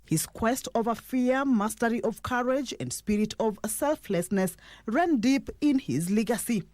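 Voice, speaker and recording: A woman's voice: fairly high pitch, unhurried, darkish tone, no room sound. A woman with a Kenyan accent narrating a scripted television news report.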